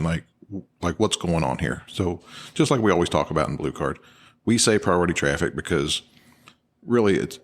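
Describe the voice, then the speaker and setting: A man co-hosting a podcast, explaining and analyzing things in an informal, conversational way. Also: low voice. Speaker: podcast co-host. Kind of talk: conversational analysis of firefighter radio traffic.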